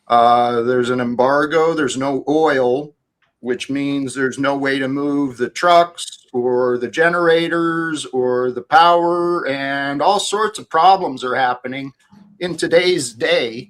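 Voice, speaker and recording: Calm man hosting a casual chat. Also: medium pitch. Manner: calm, corrects others in a measured way. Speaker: host.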